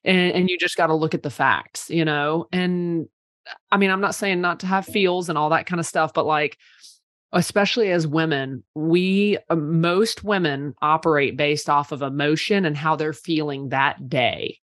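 A woman's voice: low voice, moderate pace, no room sound, clean studio sound. A woman in her thirties, a PR company owner, telling stories in a casual podcast chat, full of "like" fillers. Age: 30s. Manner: chatty, many "like" fillers, animated storytelling.

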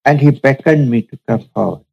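A man, a spiritual teacher, telling a story from his past in a slow, measured, reflective way during an interview. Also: mid-range voice, gravelly, mellow, slightly rough, lo-fi sound. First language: Urdu.

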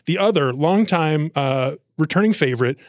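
A man's voice: fairly high pitch, animated, normal speed, no room sound, clean studio sound. A man with an American accent, hosting a podcast in a casual, chatty style. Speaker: podcast host.

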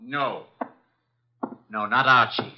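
A man's voice: mid-range voice, fairly even intonation, slow pace, close to an everyday voice. An actor playing a threatening, impatient gunman in a 1950s radio drama, speaking tersely. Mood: insistent. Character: menacing, impatient male intruder with a gun. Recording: dull lo-fi vintage radio recording.

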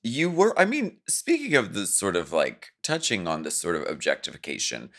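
A man's voice: low-pitched, huge pitch swings, moderate pace, a bit bright. A man co-hosting a podcast, gushing over his guest and asking rambling questions. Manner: enthusiastic, gushing, hesitant questions. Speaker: podcast co-host. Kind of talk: casual podcast chat.

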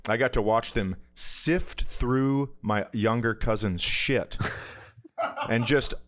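An American man with a mid-range voice chats as a comedy podcast co-host. He tells loose, profane stories with a teasing edge and is quick to laugh along. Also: mid-range voice, fairly bright tone. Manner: crude, profane, teasing banter; laughs readily while telling stories. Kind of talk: casual comedic storytelling.

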